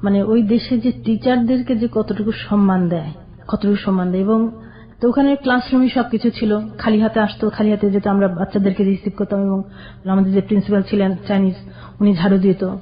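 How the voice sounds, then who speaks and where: A woman's voice: mid-range voice, steady tone. Hesitant woman recalling her past. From Bangladesh. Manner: slow, hesitant recollection with restarts. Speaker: caller sharing her life story. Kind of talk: personal storytelling interview. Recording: dull lo-fi radio recording.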